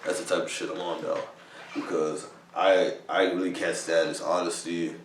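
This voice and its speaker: A man's voice: deep voice, level tone, normal speed, reverberant, far from the mic, a little hiss or hum. A man in his thirties from New York, a soldier casually rambling in slangy talk with lots of "bro" and swearing. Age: 30s. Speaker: vlogger, army soldier. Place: army barracks room.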